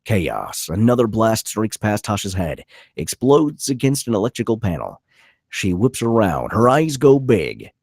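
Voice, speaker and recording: Male table-read narrator: raspy, punchy, lively pitch swings, dry close sound. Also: a little slow, dry recording. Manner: vivid, punchy reading of action. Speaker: narrator reading stage directions.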